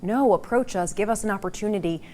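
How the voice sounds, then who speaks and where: A woman's voice: medium pitch, fast, mellow, a little hiss or hum. A woman working as a TV news reporter, asking questions and recounting interviews in a discussion.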